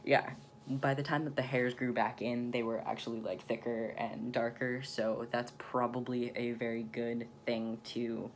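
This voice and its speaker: Young man, vlogger, casual chat, rambling, mid pitch. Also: slight background noise. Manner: chatty, rambling, drops into whispered asides. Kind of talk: casual personal update.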